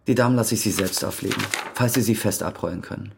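Suspicious cop in a radio drama, low gravelly voice, businesslike, bit of noise behind. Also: male voice, quick pace. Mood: probing, businesslike. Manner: matter-of-fact, probing. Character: dogged, suspicious male police detective. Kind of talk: radio play dialogue.

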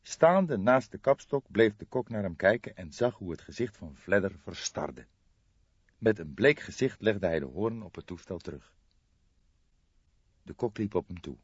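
Guy reading an audiobook, dramatic, lowish voice that moves around a lot, dry bit muffled recording. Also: animated, measured pace, husky, no echo. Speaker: audiobook narrator. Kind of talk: dramatic scripted narration.

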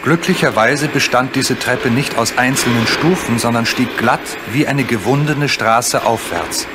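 A man narrating a children's story from a script, with measured, dramatically drawn-out phrasing. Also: medium pitch. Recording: clearly noisy old recording.

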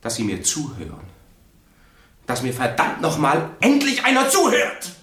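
An actor playing detectives and frightened men in old radio crime dramas, unstrained delivery. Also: male voice, a little high, huge pitch swings, measured pace, fairly bright tone, full character voice. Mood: desperate. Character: adult male characters, from a frightened coward to a hard-boiled detective.

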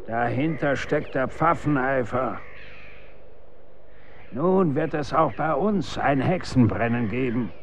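A stern, brusque executioner of olden times in a radio play. His middle-aged voice has a medium pitch and archaic phrasing. Crows caw in a clearly noisy background. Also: takes it slowly. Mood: grim, wary. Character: gruff old-time executioner and stern father. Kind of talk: radio drama acting.

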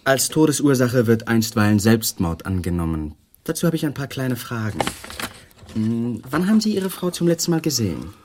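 An actor playing a polite, probing police detective who asks questions calmly in a 1980s radio drama. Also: male voice, mid-range voice, moderate pace, background noise, close to an everyday voice. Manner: calm, formal questioning.

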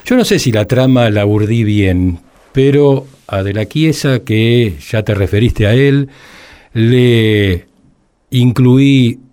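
An Argentine man acts as a thoughtful radio interviewer. He talks very slowly, in a dark, relaxed voice of middling pitch. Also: clean tone. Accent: Argentine Spanish.

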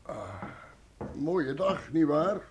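A man's voice: on the high side, very animated, drawn-out words, heavily acted. A man playing a weary traveller in a Dutch radio play. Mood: genial, weary. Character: weary travelling man come to wake a gentleman. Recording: noisy old radio play recording, clicks.

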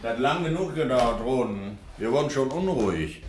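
An actor playing a scheming middle-aged doctor who snaps harshly and gives orders, in a 1960s radio drama. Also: male voice, medium pitch, animated, normal speed, darkish tone, slight background noise, natural voice. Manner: controlled, turns harsh and commanding.

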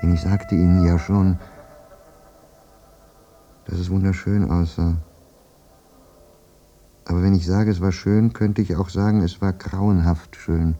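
An actor playing a haunted, war-maimed soldier who recalls the war in a reflective, self-questioning radio drama monologue. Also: male voice, low voice, flat delivery, measured pace, pauses often, dark tone. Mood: brooding, wondering. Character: war-maimed soldier brooding over his memories, haunted, plain-spoken. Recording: muffled radio play recording with music. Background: music.

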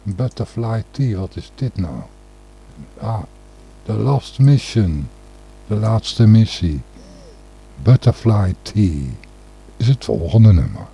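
A man's voice: on the low side, expressive, takes it slowly, vocal fry, pauses often, mellow, slight background noise, poor audio. A man the hosting a radio show with casual, rambling, blunt and opinionated talk.

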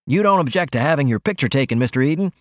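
Male narrator as young cub reporter, high-pitched, brash, airy, self-important, storybook character. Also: expressive, racing, fairly bright tone, no echo, studio quality. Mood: breezy. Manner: eager, airy, indignant when crossed.

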